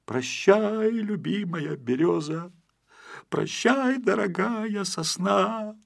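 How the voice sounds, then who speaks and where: A man's voice: high-pitched, animated, takes it slowly, gravelly, dry recording, studio quality, voice pushed up, exaggerated voice. A man voicing a timid, gloomy little storybook fellow, hesitant and plaintive. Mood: tearful farewell.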